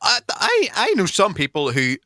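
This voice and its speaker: Podcast co-host, man, giggly questioner, very bright and very animated. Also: sits high, moderate pace. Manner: laughs a lot, asks questions. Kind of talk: casual banter.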